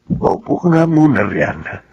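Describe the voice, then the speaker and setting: Earnest, gravelly Filipino husband. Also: male voice, medium pitch, sing-song, moderate pace, muffled recording, exaggerated voice. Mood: earnest, reassuring. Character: earnest, stubborn farmer husband, newly adoptive father. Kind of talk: Filipino radio drama acting.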